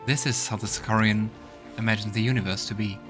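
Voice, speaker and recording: A man's voice: fairly low pitch, fairly even intonation, fast talker, music in the background. A man hosting a let's play, commenting on the game and reading its text aloud.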